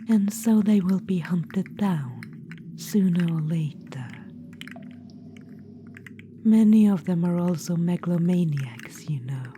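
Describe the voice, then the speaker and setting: A woman voicing a vain undead witch-queen necromancer in an ASMR roleplay, whispering softly and calling the listener darling. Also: fairly low pitch. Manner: soft intimate whisper, calls listener darling; smug, boastful, self-satisfied. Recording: whisper with steady background noise.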